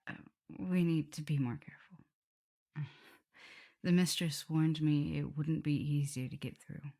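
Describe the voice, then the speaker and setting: A woman playing a tender, guilt-ridden vampire mistress in an ASMR roleplay, speaking softly and haltingly, often in whispers. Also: low-pitched. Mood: remorseful, hushed. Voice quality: soft, breathy, often whispering. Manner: halting, hesitant delivery. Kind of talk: ASMR roleplay acting, intimate address to listener.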